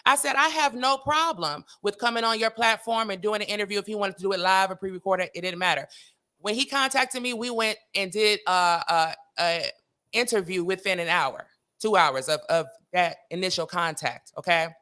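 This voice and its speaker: A woman's voice: medium pitch, moderate pace, sharp tone. A middle-aged woman from Los Angeles telling gossipy stories as an interview guest, brash, sassy and emphatic. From Los Angeles, United States. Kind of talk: gossipy storytelling.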